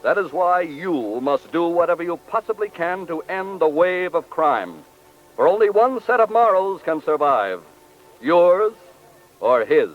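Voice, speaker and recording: A man's voice: a little high, sing-song, unhurried, faint music behind. Tinny, grave 1940s radio narrator, male. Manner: grave, moralizing. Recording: tinny, narrow-band old radio recording.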